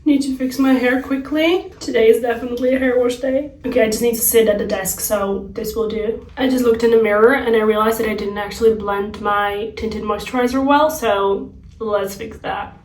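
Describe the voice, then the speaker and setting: Higher-voiced young Slovak woman, roomy sound. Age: young adult. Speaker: lifestyle vlogger. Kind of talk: casual chat to viewers. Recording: roomy, distant microphone.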